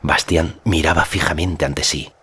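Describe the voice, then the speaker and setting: Very deep-voiced male audiobook narrator. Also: steady tone, measured pace, pushed and bright, slightly rough. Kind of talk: scripted dramatic story narration.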